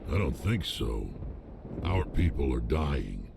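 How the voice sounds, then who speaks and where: An actor voicing a huge, proud, angry alien warrior in a video game, with a gravelly growl and slow, defiant delivery. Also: male voice, extremely low pitch, very animated, very noisy, heavily acted. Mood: defiant anger.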